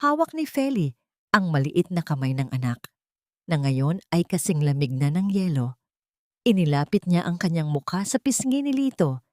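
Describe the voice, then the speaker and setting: A woman with a fairly low voice reads a dramatic audiobook. Her delivery is solemn and theatrical, and the sound is close and dry, free of room echo. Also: a little mellow. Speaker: audiobook narrator. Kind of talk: dramatic scripted story narration.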